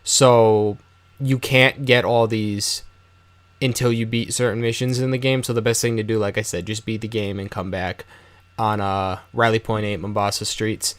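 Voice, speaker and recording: Clear-voiced American guy, casual game commentary. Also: lowish voice, steady tone, moderate pace, no room sound. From United States. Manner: rambling, offhand, conversational. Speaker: video game guide host.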